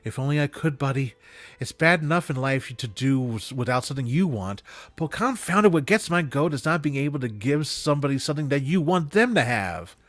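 A man voicing an affectionate, excitable elderly woman from a storybook, folksy and earnest in manner. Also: medium pitch, fairly quick, no room sound, lightly acted. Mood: frustrated, wistful.

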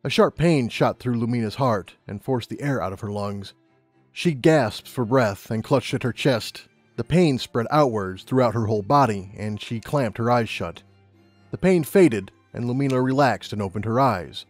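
A man's voice: medium pitch, moderate pace, no room sound, faint music behind. Male story narrator, close and dry. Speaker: audiobook narrator.

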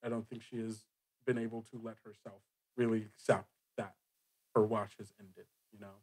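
A man speaking as game master, improvising narration and rulings, halting as he thinks aloud. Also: lowish voice, little pitch movement, darkish tone, slightly rough. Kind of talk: improvised tabletop game narration and casual table talk.

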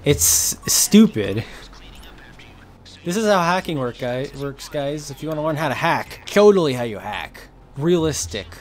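A man's voice: fairly high pitch, big pitch swings, measured pace, slight background noise. A man in his twenties chatting casually while playing a video game, bossy and sarcastic, swearing freely. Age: 20s. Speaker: gaming host. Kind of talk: casual gameplay chat.

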